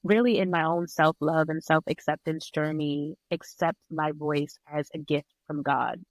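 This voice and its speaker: A woman's voice: sits low, steady tone, unhurried, no room sound. Woman with a very dry, close sound. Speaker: podcast guest, breathwork and yoga instructor. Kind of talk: reflective conversational interview.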